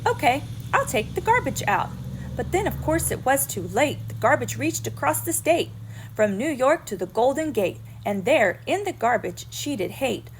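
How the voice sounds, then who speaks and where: A woman teacher doing a children's read-aloud of rhyming poems in an expressive storytelling manner. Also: high voice, moderate pace, slight background noise, low-quality recording.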